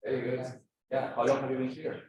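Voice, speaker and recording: A man from Peru, making friendly, halting small talk before a seminar. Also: mid-range voice, monotone, darkish tone, highs cut off. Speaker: seminar attendee greeting the speaker. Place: seminar room. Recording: roomy, distant from the mic.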